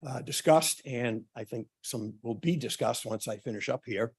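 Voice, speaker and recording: A man addresses a forum with a voice that is starting to go hoarse, and he now and then clears his throat. His talk is halting and full of uhs and ums. His pitch sits in the middle range for a man. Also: a little mellow. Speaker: town official presenting at a public forum. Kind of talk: prepared remarks at a public meeting.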